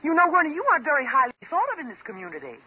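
An actress playing a bitter, vengeful jilted patient with a mocking, sarcastic and dramatic manner in a 1950s radio drama. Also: female voice, high-pitched, sing-song, very fast, a crowd in the background. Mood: sarcastic, accusing. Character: bitter, vengeful jilted woman patient holding doctor at gunpoint. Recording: dull old 1950s radio recording.

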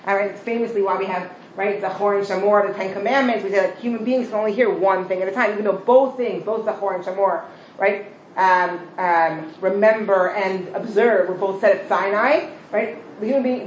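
A woman leads a class discussion, praising students and often checking in with "right?". The recording carries an echoey room and a faint background hum. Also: mid-range voice. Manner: encouraging, quick, full of praise and "right?". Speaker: teacher leading a study session.

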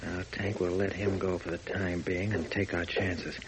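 Hop, a decisive young pilot hero. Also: male voice, low voice, little pitch movement, moderate pace. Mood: urgent. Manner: firm, clipped orders. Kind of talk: 1940s radio drama acting. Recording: hissy old radio broadcast.

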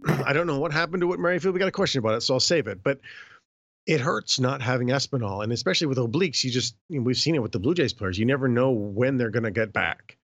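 A hoarse man co-hosting a podcast in casual chat, often clearing his throat and speaking in loose, filler-filled runs. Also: medium pitch, lively intonation, fast talker, fairly bright tone, dry recording. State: hoarse after illness. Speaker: podcast co-host.

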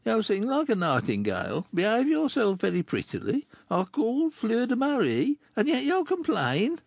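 A rough, mocking man of the streets talks in an ironic, teasing way, as a male narrator puts him on. His voice is gravelly and lively, jumping about in pitch. The sound is close and dry. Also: moderate pace, no room sound, full character voice.

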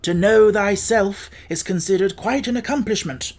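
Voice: medium pitch, moderate pace, voice pushed up, close to an everyday voice. A cheerful living scarecrow from a storybook, put on by a narrator, talks in a lifted voice. It is kind and encouraging, with a proud streak. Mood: kindly, encouraging. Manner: kind, encouraging, self-assured.

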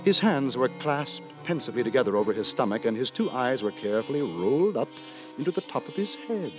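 An actor playing a prim, moralising gentleman who narrates in wordy, formal, mock-solemn 1950s radio drama style. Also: medium pitch, big pitch swings, fairly quick, lightly acted. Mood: wry, measured. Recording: 1950s radio, music behind.

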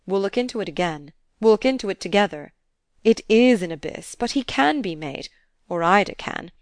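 A woman's voice: mid-range voice, expressive, normal speed, no room sound, close to an everyday voice. A woman reading aloud and voicing a genteel, easygoing man who speaks in a kindly, coaxing way and repeats himself. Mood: coaxing, exuberant.

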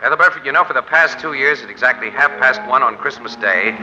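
An American actor playing a wry, breezy, hard-boiled newspaper crime photographer, slangy and wisecracking, in 1940s radio style. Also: male voice, medium pitch, fairly quick, pushed and bright. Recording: muffled vintage 1940s radio recording.

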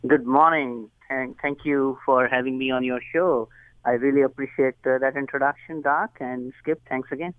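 A man's voice: medium pitch, fairly even intonation, moderate pace, dry recording. An Indian man speaks over the phone as a guest on a talk show. He is earnest and chatty and likes to laugh at his own jokes. The line is thin and tinny, and the sound is dry and close. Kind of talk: phone interview.

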